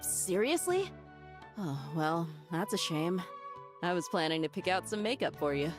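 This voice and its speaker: A woman's voice: fairly low pitch, lively intonation, measured pace, over music. A woman voicing a playful, confident theater dancer in a video game, breezy and teasing.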